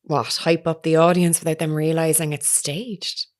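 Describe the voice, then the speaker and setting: An actress plays a woman reporter with a slightly low voice. She is earnest and persistent, speaking in a formal, measured, insistent way, as in a natural audio drama. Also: little pitch movement, close to an everyday voice. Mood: probing.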